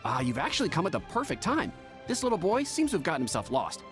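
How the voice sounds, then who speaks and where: A man voicing a brisk, helpful pharmacy assistant in an anime game. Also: fairly high pitch, huge pitch swings, soft background music.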